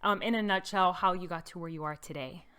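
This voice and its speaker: A woman's voice: fairly low pitch, quick pace, over a noisy crowd. A woman hosting a podcast, reading guest intros and show promos in an upbeat, enthusiastic way. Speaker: podcast host.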